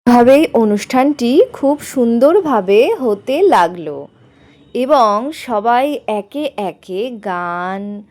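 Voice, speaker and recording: Slow, high-pitched woman telling a story. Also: a little mellow. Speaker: storyteller narrating doll-play stories. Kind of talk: storytelling narration for children.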